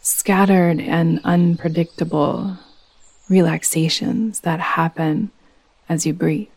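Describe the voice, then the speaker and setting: Slow, low-voiced woman guiding meditation. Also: fairly even intonation. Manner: soothing, gentle, unhurried instruction. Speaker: yoga nidra guide. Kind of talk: guided meditation.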